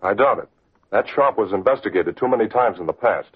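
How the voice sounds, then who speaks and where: Commanding vigilante, animated range, very husky, thin tinny vintage radio sound. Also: male voice, lowish voice. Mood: thoughtful. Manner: clipped, authoritative, deliberate. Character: decisive, commanding male masked crime-fighter. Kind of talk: 1940s radio drama acting. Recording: tinny, scratchy old radio recording.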